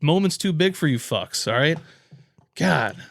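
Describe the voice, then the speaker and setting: A man's voice: fairly high pitch, expressive, measured pace, vocal fry. A man co-hosting a podcast, venting in a profane, frustrated rant during casual sports chat. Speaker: podcast co-host.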